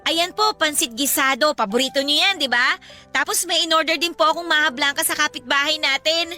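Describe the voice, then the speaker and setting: A determined, loving young Filipino daughter in radio drama style. Her voice is very high and piercingly bright, with an earnest, sentimental manner. Soft music runs underneath. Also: fairly quick. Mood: cheerful. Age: young adult. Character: devoted, determined grown daughter, respectful to her mother. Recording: music bed behind the voice.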